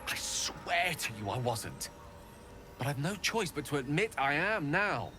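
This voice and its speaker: An actor playing a learned, earnest wizard in a fantasy video game, speaking in florid, measured, dramatic phrases. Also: male voice, fairly high pitch, sing-song, music in the background. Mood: apologetic. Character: learned male wizard, eloquent, theatrical, earnestly remorseful.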